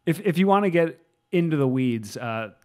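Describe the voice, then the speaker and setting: A man's voice: a little high, expressive, moderate pace. A young man co-hosting a casual podcast over a video call on a large mic, with wry, dry jokes. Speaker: podcast co-host. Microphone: large studio microphone, video call.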